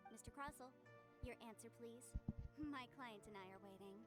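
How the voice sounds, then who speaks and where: A woman playing a brisk, assertive young female legal adviser in a video game. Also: a little high, over music, lightly acted. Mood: firm, confident. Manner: assertive, formal, crisp phrasing.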